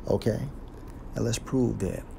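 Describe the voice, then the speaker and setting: A man teaching scripture, reading verses aloud slowly and explaining each one with a deliberate delivery. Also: medium pitch, gravelly, mellow, slight background noise. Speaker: Bible teacher.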